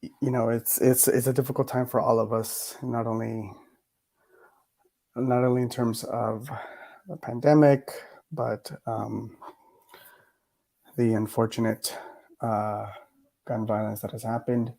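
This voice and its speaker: Male lecturer, mid pitch, mellow and slightly worn voice, hesitant reflective delivery. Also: little pitch movement, measured pace, vocal fry, long pauses. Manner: hesitant, many fillers and restarts. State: recovering from a cough, voice a bit worn. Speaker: poet laureate giving a lecture. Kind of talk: reflective mini lecture on poetry.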